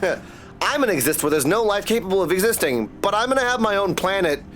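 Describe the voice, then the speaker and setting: Sarcastic streamer with lively pitch swings. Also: a little fast. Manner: sarcastic, irreverent. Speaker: game streamer. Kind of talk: casual reactive gameplay commentary. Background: game music.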